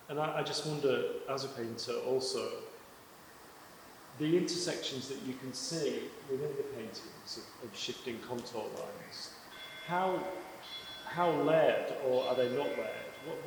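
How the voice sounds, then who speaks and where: Male interviewer, measured and searching, in a roomy gallery with clear background noise. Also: medium pitch. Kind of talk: public conversation at an exhibition. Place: exhibition project space. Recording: echoey room with long reverb, clear noise.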